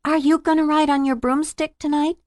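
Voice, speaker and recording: A woman's voice: high voice, little pitch movement, fairly quick, a little mellow, no echo, clean studio sound, voice pushed up, close to an everyday voice. A woman narrator voicing a small boy in a Halloween costume who speaks in an earnest, chirpy way.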